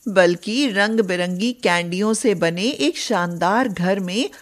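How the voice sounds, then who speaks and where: A woman's voice: mid-range voice, takes it slowly. A woman narrating a scripted children's moral story as a storyteller.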